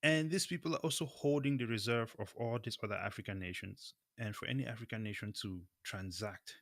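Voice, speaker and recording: A man's voice: on the low side, fairly quick, fairly bright tone, no room sound, studio quality. A man from Cameroon co-hosting a show, talking casually and emphatically, often checking in as he makes his point. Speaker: co-host of a crypto show. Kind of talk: casual opinionated discussion.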